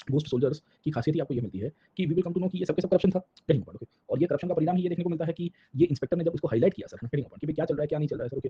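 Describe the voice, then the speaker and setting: A man's voice: on the high side, slight background noise. A man with an Indian accent giving an informal history lecture, switching between two languages and constantly tossing in check-in questions and tag words. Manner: mixes Hindi and English. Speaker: history teacher. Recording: dull lo-fi audio.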